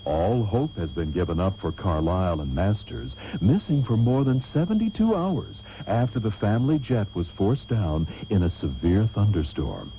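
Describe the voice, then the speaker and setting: A man's voice: fairly low pitch, very animated, normal speed, hardly any pauses, slight background noise. A man playing a grandly earnest host, narrating a comedic radio serial in a mock-solemn, theatrical style. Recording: tinny, narrow old radio tape.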